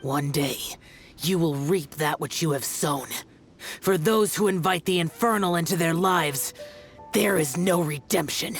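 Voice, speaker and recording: Stern immortal warrior, youthful, formal, grave, measured, video game dialogue, soft music behind. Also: male voice, on the high side. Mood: stern, condemning. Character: stern, solemn male adeptus warrior, ancient but youthful.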